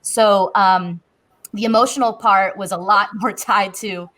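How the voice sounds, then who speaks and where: A woman in her thirties is talking as a guest on a panel. She tells her own story candidly, in an everyday conversational way, with a medium-pitched voice. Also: fairly even intonation, measured pace, a bit bright. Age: 30s.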